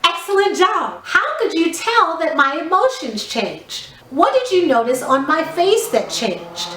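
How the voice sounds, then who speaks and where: A high-voiced woman leads young children through a drama lesson. Her delivery is slow and very melodic, with carefully enunciated, expressive instructions. The room adds a noticeable echo. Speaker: children's theatre teacher. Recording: echoey room.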